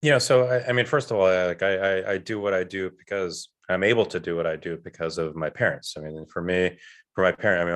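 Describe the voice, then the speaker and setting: A middle-aged man who is a guest on a panel, speaking earnestly with halting restarts. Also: low voice, a bit bright. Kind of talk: panel discussion. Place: event stage.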